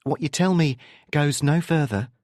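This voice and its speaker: A man voicing a curious, persistent woman who probes gently in a narrated novel. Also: a little high, moderate pace, no room sound, clean studio sound, pitched above the performer's own voice, natural voice. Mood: probing, tentative. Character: curious, persistent young woman, probing and smoking.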